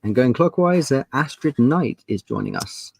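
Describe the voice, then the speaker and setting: A man's voice: medium pitch, animated, moderate pace, vocal fry, a little mellow, no echo. A man hosting an online panel as game master, introducing it in a casual style.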